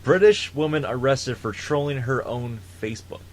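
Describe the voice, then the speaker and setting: A man's voice: medium pitch, expressive, normal speed, a little hiss or hum. A man with a cold, co-hosting a casual podcast, laid-back and swearing freely. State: sick with a cold. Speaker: podcast co-host. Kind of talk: casual chat.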